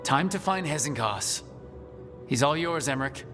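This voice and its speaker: A man playing a practical young male adventurer in a video game, speaking in a direct, brisk way. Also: on the high side, animated, music in the background, natural voice. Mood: businesslike.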